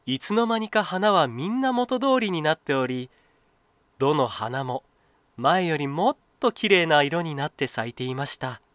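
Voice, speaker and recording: A man reading a children's folktale as a storyteller, in an expressive, clearly phrased scripted style. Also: a little high, dry recording.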